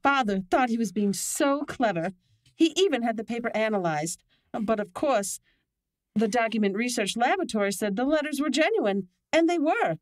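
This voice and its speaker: Woman playing an upset young client in old radio mystery, earnest, lots of pitch movement, dry sound. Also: lively intonation, moderate pace, no echo, close to an everyday voice. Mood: earnest, aggrieved. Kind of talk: old-time radio drama acting.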